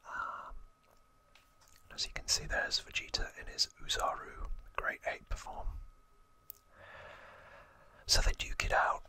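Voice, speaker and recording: Slow, bright ASMR whisper. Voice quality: breathy unvoiced whisper. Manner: casual, informal explaining. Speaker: ASMR host. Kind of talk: whispered ASMR trivia narration.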